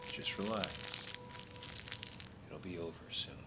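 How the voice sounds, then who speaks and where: Deep, slow-talking man in ASMR role play. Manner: unhurried, reassuring, speaks in short phrases with pauses. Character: calm, reassuring male recruiter of a secret magical order. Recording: clearly noisy, low rumbling background.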